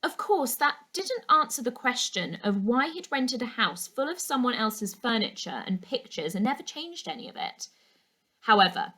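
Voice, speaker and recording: A woman teacher reading a storybook aloud to children, with clear, expressive storytelling. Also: a little high. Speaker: primary school teacher reading a class book aloud.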